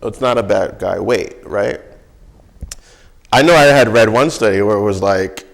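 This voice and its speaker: Low-pitched, gravelly man in casual discussion. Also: moderate pace.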